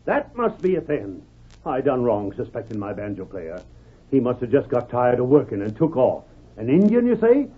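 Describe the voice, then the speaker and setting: Husky blustering medicine-show swindler. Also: male voice, mid-range voice, sing-song, moderate pace. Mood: scheming, excited. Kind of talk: old-time radio western acting. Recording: tinny old radio broadcast recording.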